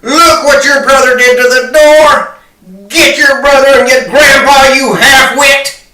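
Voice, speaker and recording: A man's voice: very high-pitched, fairly even intonation, slow pace, pushed and bright, heavily acted. An actor playing an agitated, overwrought man in a 1970s horror film. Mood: angry, ranting. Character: agitated, frantic male horror film character. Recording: echoey old film clip with music.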